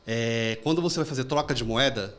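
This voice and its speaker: A man hosts a casual interview, chatty and full of anecdotes. He talks at a quick clip in a voice of middling pitch. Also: a bit bright. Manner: fast, chatty storytelling with anecdotes. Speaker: podcast host.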